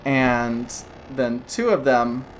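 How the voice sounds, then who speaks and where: A woman running a tabletop game as game master, narrating in a loose, halting way full of "like" and "kinda". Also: a bass voice, slight background noise, low-quality recording.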